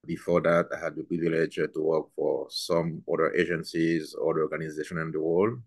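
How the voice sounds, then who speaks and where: A man from Haiti, speaking as a regional vice president on a podcast, pausing often with fillers. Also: rumbling low, flat delivery, no room sound. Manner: halting, many filler pauses. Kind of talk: podcast interview.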